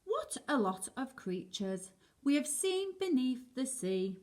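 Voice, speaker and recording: Slow, expressive woman reading to children. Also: sits high. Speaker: primary school teacher reading a picture book aloud.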